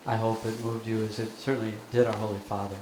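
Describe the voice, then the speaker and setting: An older man, a bishop, speaking thoughtfully at a lecture with many pauses and fillers. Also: fairly low pitch, flat delivery, a little mellow. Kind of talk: lecture and Q&A remarks. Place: lecture hall. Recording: echoey hall with background noise.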